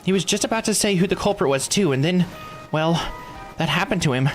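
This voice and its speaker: A man voicing an excitable, frightened young woman in a video game, startled and questioning. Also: sits high, a little fast, soft background music, voice pushed up, lightly acted. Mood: shaken. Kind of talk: video game dialogue read aloud.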